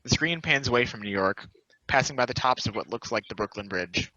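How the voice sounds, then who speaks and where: A man's voice: medium pitch, brisk, pushed and bright, dry recording. A man reading stage directions as scripted narration for a table read. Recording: muffled lo-fi audio, dry.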